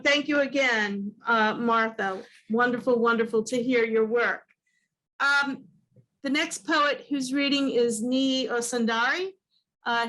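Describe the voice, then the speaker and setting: A woman's voice: fairly high pitch, fairly bright tone, rough recording. A woman hosting a reading series over a video call, introducing poets with frequent hesitant fillers. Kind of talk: introducing speakers.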